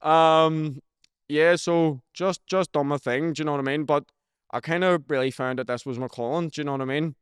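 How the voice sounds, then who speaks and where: A man's voice: fairly high pitch, steady tone, fairly quick, clear, resonant tone, no echo, clean studio sound. A young man with a Belfast accent chatting as a podcast guest, swearing freely and often checking "do you know what I mean". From Northern Ireland. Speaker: rapper guest. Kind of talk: casual podcast chat.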